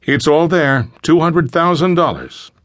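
A man's voice: on the high side, measured pace, fairly bright tone, no room sound, pitched above the performer's own voice. A man voicing an anxious father who tries to stay courteous and firm, in an audiobook thriller. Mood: firm, tense. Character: anxious father pleading for daughters, trying to sound firm.

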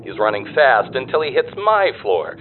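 An actor playing an easygoing, slangy male private detective in a radio drama, telling things in an animated way through a telephone filter. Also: mid-range voice, normal speed. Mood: jaunty reporting. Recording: thin phone line, faint noise.

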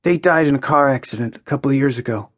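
A woman's voice: very deep voice, fast talker, dry recording. A woman narrator voicing a wry, guarded young man with a nonchalant, understated manner in a story.

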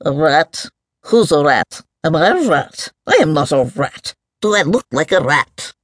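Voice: mid-range voice, expressive, measured pace, a bit bright, no room sound, clean studio sound. A storyteller of unclear sex voicing a grumpy, fussy, near-sighted giant mole that mumbles and repeats itself in a pompous, liquid mutter. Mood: indignant. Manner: repetitive, indignant muttering to itself.

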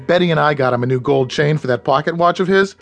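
An actor playing a friendly, easygoing office friend in a radio drama, casual and genial. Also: male voice, fairly high pitch, fast, vocal fry, fairly bright tone, soft background music. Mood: genial, relaxed.

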